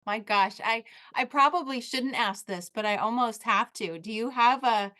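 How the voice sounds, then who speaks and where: A woman's voice: a little high, moderate pace, bright, forceful tone. A woman hosting a children's book podcast, speaking effusively and enthusiastically to her guest. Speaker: podcast host. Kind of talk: casual interview.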